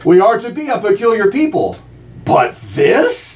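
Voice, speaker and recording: A man joking with the host on a radio show, teasing and animated, reading out mock slogans. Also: high-pitched, normal speed, far from the mic. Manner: teasing, animated, breaks into chanted cheers. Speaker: guest co-host. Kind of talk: playful radio banter.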